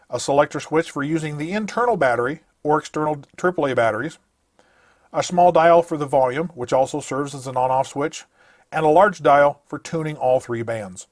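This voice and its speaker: Guy doing a gear review voice-over, kinda high voice, dead dry close mic, just walks thru the features. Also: no echo. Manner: methodical, matter-of-fact listing of features. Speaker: product reviewer. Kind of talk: scripted product review narration.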